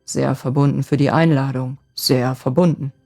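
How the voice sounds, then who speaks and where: A woman's voice: extremely low pitch, fairly even intonation, normal speed, vocal fry, warm tone, soft background music, full character voice. A woman voicing a grim, irritable old man who mutters sarcastically to himself in a storybook tale. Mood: grimly sarcastic. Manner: muttering, grumbling repetitions.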